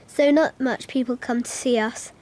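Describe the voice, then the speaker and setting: A woman plays a small schoolgirl with a high voice, narrating a radio drama. She is earnest and innocent, telling things in simple, literal childlike phrases, full of imagination. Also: fairly even intonation, moderate pace, a little mellow, natural voice. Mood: earnest, dreamy.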